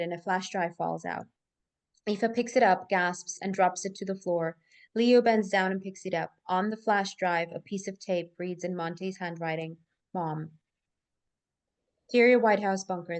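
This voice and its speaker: A woman's voice: on the low side, darkish tone. A woman reading scripted stage directions aloud as narrator in a live table read.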